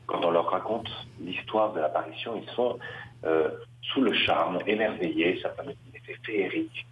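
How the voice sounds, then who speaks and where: A middle-aged man from western France, a Dominican priest, answering interview questions with many pauses and restarts. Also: sits low, slight background noise. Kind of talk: radio interview. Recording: roomy, slightly dull radio sound.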